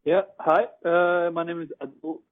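Young guest, male, twenties, casual filler-heavy talk over a phone-like line, slightly high voice. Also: little pitch movement, a little slow. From Norway. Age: 20s. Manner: casual, many fillers like "you know" and "like". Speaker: journalist and film director.

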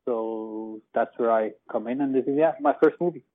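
A man's voice: medium pitch, moderate pace. Young man on a tinny phone line. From Norway. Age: 20s. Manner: casual, many fillers like "you know" and "like". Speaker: journalist and film director.

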